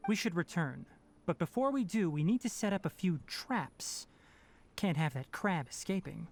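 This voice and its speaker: A man voicing a young, calm and pragmatic fox-eared ranger mentor in a video game, speaking in a measured, precise, articulate way. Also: sits high. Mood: firm, practical.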